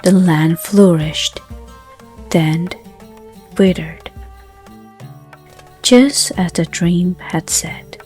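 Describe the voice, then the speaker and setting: A woman narrating a bedtime story in a gentle, soothing, hushed manner, with unhurried phrasing. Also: lowish voice, steady tone. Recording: soft music under the voice. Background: background music.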